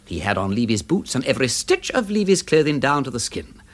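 An actor playing a witty, excitable aristocratic detective in a radio drama, with an upper-class English accent and a playful, theatrical manner. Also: male voice, mid-range voice, fairly quick. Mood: excited, triumphant. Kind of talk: full-cast radio drama acting.

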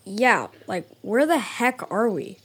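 A woman playing a blunt, excitable kid with a casual manner in a children's audio drama. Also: medium pitch, slow pace, slightly rough, full character voice. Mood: casual, puzzled.

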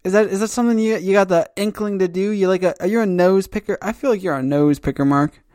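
Teasing higher-voiced man on a podcast. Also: quick pace, smooth, no echo, clean studio sound. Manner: teasing, animated, joking. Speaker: podcast host, comic creator. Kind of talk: casual chat.

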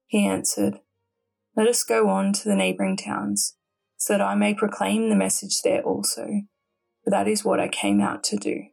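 A woman reads scripture in a mid-pitched voice full of heavy fry. The tone is husky rather than clean, and soft music plays beneath her reading. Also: flat delivery, normal speed. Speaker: religious sister reading the Gospel. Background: background music.

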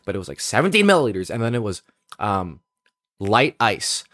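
Young man, podcast co-host, casual storytelling, lively intonation, slangy swearing, dry close recording. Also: fairly low pitch, a little fast, no room sound. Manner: profane, slangy, exaggerating.

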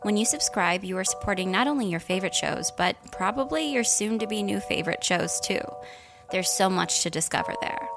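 A woman with a creaky voice delivering scripted narration for an audio fiction show. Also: mid-range voice, lively intonation, fairly quick, music in the background.

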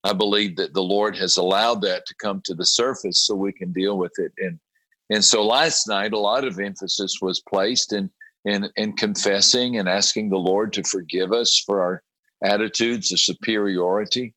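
A man, a pastor, with a raspy voice after a late night, talking in halting conversation, often repeating words and clearing his throat. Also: fairly low pitch, steady tone, bright, forceful tone, no echo, rough recording. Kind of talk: conversational interview about a prayer group.

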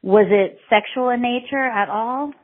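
A woman's voice: on the high side, unhurried. A woman hosting a casual interview podcast, teasing and laughing often. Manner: giggly, teasing. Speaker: podcast host. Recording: tinny phone-line sound.

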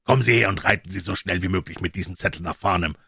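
A man voicing a cool, commanding detective who speaks calmly, briskly and with authority. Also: sits low, vocal fry, slightly rough, no echo, exaggerated voice.

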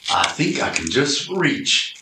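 A man's voice: mid-range voice, sing-song, slow pace, echoey room, noisy background. A man playing a bumbling, easily impressed detective in an amateur radio play. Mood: excited.

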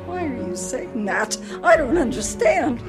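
A confused older wife in a radio drama, with a high, widely swinging voice. She speaks slowly and moves between accusation and childlike politeness. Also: expressive, over music. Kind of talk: radio play acting.

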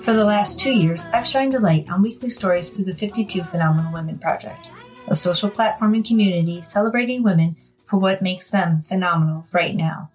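A woman's voice: on the low side, fairly quick, husky, faint music behind, poor audio. A woman hosting a podcast, reading an upbeat intro and interviewing a guest with enthusiasm. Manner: enthusiastic, warm praise of guest. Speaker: podcast host.

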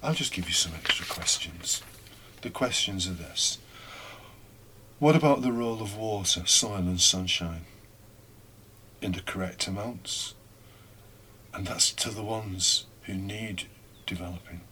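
A man giving a reflective homily, speaking deliberately with pauses and rhetorical questions. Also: low-pitched, pushed and bright, slight background noise. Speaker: preacher giving a scripture reflection.

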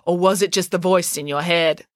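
A woman's voice: medium pitch, sing-song, moderate pace, bright, forceful tone, no echo, studio quality, voice pushed up. A woman voicing a feisty, blunt young girl who argues with emphatic sarcasm. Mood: defiant sarcasm.

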